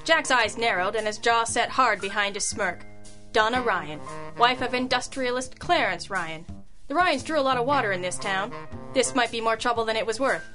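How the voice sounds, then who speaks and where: A woman's voice: on the high side, lively intonation, normal speed, pushed and bright, soft background music. A woman playing a wry, tough girl detective in an old-time noir radio drama, sardonic and knowing. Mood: wry narrating.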